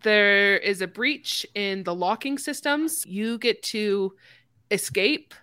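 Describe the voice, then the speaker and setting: A woman's voice: medium pitch, slow, bright, forceful tone, clean tone, no echo, studio quality. A woman hosting a casual conversation in a playful, self-deprecating way. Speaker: podcast host. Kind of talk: casual chat.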